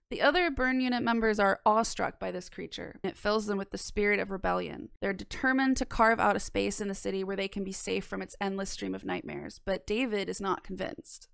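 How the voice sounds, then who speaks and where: Clear young woman with some fry. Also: medium pitch, a bit bright, no echo, studio quality. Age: young adult. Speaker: video essayist. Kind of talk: scripted analysis narration. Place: small indoor room. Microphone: close microphone on boom arm.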